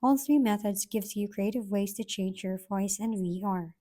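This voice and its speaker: A woman presents a scripted how-to guide as a tutorial host. Her voice sits at a middle pitch for a woman, and she takes the listener through instructions step by step. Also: moderate pace, dark tone.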